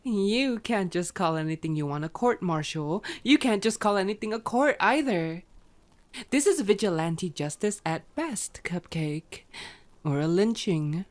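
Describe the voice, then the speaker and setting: A woman's voice: mid-range voice, lively intonation, a little slow, lightly acted. A woman playing a sardonic, teasing, bloodthirsty female vampire in an ASMR roleplay, drawing out words and sometimes sinking toward a whisper. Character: teasing, unrepentant bloodthirsty female vampire, old yet youthful-sounding.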